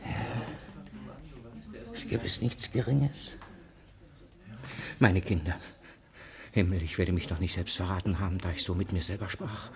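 An actor playing a paranoid, irascible old miser in a German radio comedy, raspy, blustering and suspicious, often muttering to himself. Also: male voice, low voice, measured pace, long pauses, a crowd in the background. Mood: anxious soliloquy. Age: older. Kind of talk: German stage-comedy radio play acting.

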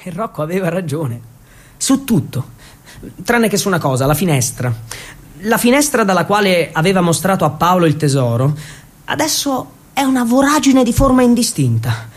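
High-voiced theatre storyteller, a man. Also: sing-song, normal speed. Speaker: actor and storyteller. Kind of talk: scripted theatrical narration, dramatic monologue.

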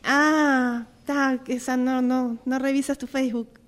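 Woman, high voice, nervous, giggly, repetitive, excited young character, improvised drama exercise. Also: measured pace. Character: jittery, excited young woman awaiting her crush. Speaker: radio drama workshop participant. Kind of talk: improvised one-sided dialogue exercise.

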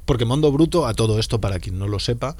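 A man hosting a relaxed interview, asking short questions and joking with his guest. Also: medium pitch, fast talker, dry recording. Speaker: podcast host. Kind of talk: casual interview chat.

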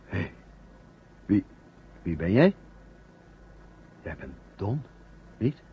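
An actor playing a weak, dazed astronaut starved of oxygen, speaking haltingly in a 1970 radio drama on a dull recording. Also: male voice, low-pitched, sing-song, breathy edge, exaggerated voice. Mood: groggy, confused. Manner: halting, repeating words. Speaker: actor in a science fiction radio serial. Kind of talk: 1970 Dutch-language radio drama acting. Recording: dull vintage radio recording with faint hum.

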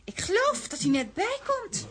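An actress playing a bright, excitable, clever little girl in a children's radio play. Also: extremely high pitch, measured pace, a little hiss or hum, heavily acted. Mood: excited.

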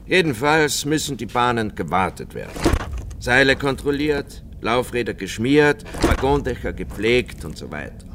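Actor as animated, talkative company boss, noisy vehicle. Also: male voice, mid-range voice, normal speed, lightly acted. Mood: brisk, chatty. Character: brisk, chatty cableway company boss giving orientation. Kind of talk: radio drama acting.

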